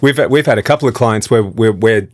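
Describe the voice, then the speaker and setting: Male, 50s, studio director type, fairly low voice, chatty conversational explainer. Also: brisk, a little mellow. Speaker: audio engineer and voice director.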